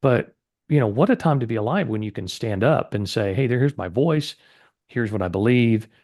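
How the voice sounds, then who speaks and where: A man's voice: medium pitch, expressive, moderate pace, darkish tone. A man hosting a casual interview podcast, speaking in an upbeat, earnest and encouraging way. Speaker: podcast host.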